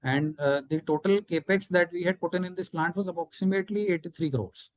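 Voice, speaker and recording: A man's voice: high-pitched, a little fast, no echo. A man with an Indian accent, a company executive giving formal, methodical answers on an earnings call.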